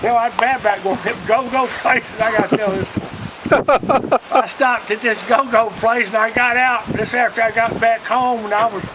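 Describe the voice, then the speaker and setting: A man's voice: extremely high pitch, steady tone, moderate pace, over a noisy crowd, rough recording. An older man with an Alabama Southern accent, telling stories from his past in a folksy, rambling, casual way. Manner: folksy, rambling, often quoting past conversations. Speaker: storyteller, retired union organizer. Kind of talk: casual reminiscing.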